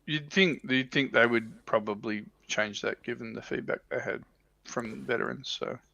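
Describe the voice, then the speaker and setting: A man's voice: mid-range voice, normal speed, gravelly, pushed and bright, no echo. A man co-hosting a casual livestream chat, tentative and thinking aloud, on a slightly dull, dry recording. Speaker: livestream co-host on tabletop wargaming show.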